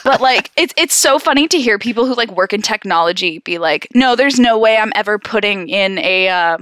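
Animated, bright-voiced Canadian woman chatting. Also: medium pitch, fairly quick, dry recording. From Ontario, Canada. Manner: animated, giggly, jokey, swears casually. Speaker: podcast co-host. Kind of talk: casual chat.

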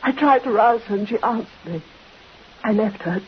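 A woman's voice: a little high, normal speed, lightly acted. A woman playing an anxious, proper nurse in vintage radio drama, formal and earnest. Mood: grieving, shaken. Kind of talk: 1950s radio drama acting. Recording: dull old radio recording with hiss.

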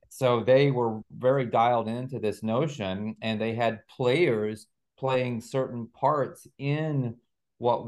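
Lower-pitched man explaining in an interview. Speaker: podcast guest, author and researcher. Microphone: remote video call.

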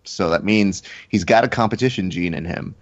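A man's voice: low-pitched, normal speed. A man hosting a podcast, chatting casually and shifting into a playful, announcer-like game-show delivery. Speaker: podcast host. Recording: slightly dull lo-fi sound.

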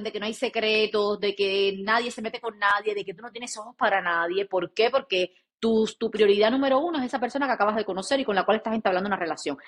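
A woman's voice: on the high side, fairly bright tone. A woman with a Cuban accent co-hosting a casual chat, talking emphatically and addressing listeners directly. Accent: Cuban Spanish. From Cuba. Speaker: podcast co-host.

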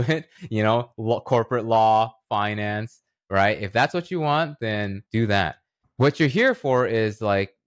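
A man's voice: on the low side, no room sound, studio quality. A man talking casually as a podcast guest, explaining ideas and checking in with "right?" as he goes. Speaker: podcast guest, writer and illustrator. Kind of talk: casual conversational podcast talk.

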